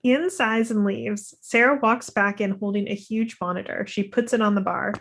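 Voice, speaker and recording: A woman's voice: medium pitch, fairly even intonation, normal speed. A young woman narrating stage directions from a script over a video call. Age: young adult.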